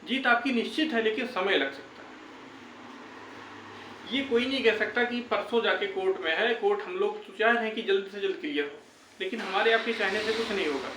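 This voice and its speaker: A man's voice: high voice, sharp tone, a little hiss or hum. A young man giving an informal, reassuring update to viewers, often repeating his phrases. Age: young adult. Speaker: YouTube exam-update presenter. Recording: echoey, roomy sound.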